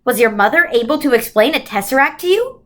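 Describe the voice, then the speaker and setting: A woman plays a reassuring otherworldly female guide in a storybook. The voice is high and rises often, with a patient, encouraging way of explaining things. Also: animated, fast, fairly bright tone.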